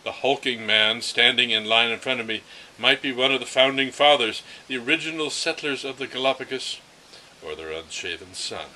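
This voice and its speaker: Man, storytelling read-aloud, very bright sharp tone, expressive, light background ambience. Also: medium pitch, normal speed, slight background noise, rough recording. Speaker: reader of a story. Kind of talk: read-aloud first-person literary narration.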